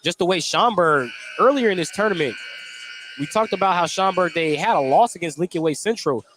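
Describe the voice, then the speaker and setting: An American man doing live play-by-play basketball radio commentary, animated on big plays and conversational in between. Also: high-pitched, fairly quick. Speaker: play-by-play sports announcer. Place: indoor basketball gym during a game. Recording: clearly noisy gym background.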